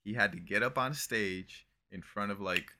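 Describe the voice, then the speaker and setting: Bright-voiced young man, loose rambling chat. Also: fairly low pitch, normal speed, slight background noise. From Brazil. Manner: relaxed and rambling, swearing, lots of "bro" and "like". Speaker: podcast co-host. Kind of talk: casual chat.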